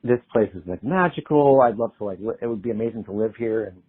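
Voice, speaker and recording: Young male singer-songwriter guest, relaxed and chatty, laughs easily; narrow phone-like sound. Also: mid-range voice, quick pace, breathy edge. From Pennsylvania. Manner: easygoing, chatty, laughs often. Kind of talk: casual interview chat. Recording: tinny phone-like line.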